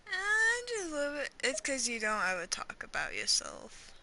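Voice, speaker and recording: A woman's voice: on the high side, wildly swooping pitch, normal speed, pushed and bright, slight background noise. A woman giving casual game playthrough commentary, rambling, repeating herself and reacting aloud. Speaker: gaming streamer. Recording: game music faintly behind.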